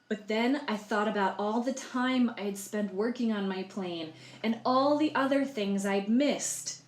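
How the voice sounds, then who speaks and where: A woman reading a picture book aloud to children, expressively, with explanatory asides and questions for the listeners. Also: mid-range voice. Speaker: library storytime reader. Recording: roomy, echoey sound.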